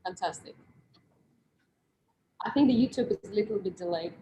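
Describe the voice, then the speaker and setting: A woman's voice: medium pitch, moderate pace, warm tone. A woman moderates an online talk over a video call, upbeat as she switches between English and Russian. Her voice rings in an echoey room, with faint background noise underneath. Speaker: host and moderator.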